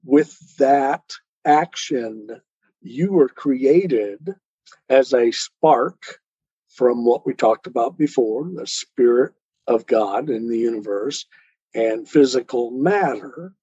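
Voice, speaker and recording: A man with a slow delivery and a muted, mellow voice explains a poem as an interview guest. His phrasing is deliberate and earnest, a little preacherly. The recording is dull and lo-fi. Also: mid-range voice, slightly rough, dry recording. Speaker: interview guest, poet and author. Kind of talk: reflective explanation of a poem in conversation.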